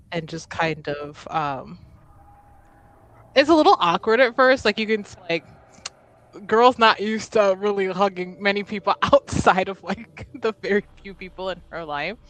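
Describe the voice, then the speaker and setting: A woman roleplaying in a tabletop game, speaking earnestly and deliberately, with narrated asides. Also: medium pitch, fairly bright tone.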